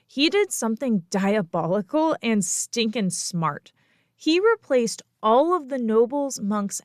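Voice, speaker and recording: Woman host, history podcast, lively swooping pitch, playful and emphatic, dry close mic. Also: no room sound. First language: English. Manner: playful, emphatic, with little laughs. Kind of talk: scripted history lecture podcast.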